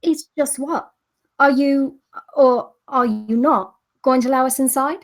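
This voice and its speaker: A woman's voice: sits high, unhurried. A woman at a script read playing a bold, cheeky young woman who can turn haughty and indignant. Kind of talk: screenplay table read acting.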